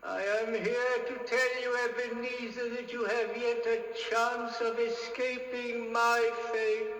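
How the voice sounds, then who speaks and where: A man's voice: very high-pitched, flat delivery, fairly bright tone, heavily acted. An actor playing the mournful, stern ghost of a dead businessman in a radio drama, speaking in a solemn chant with a ghostly reverb. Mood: solemn warning. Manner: slow, solemn, chanting delivery. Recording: distant, heavily echoing reverberant hall effect.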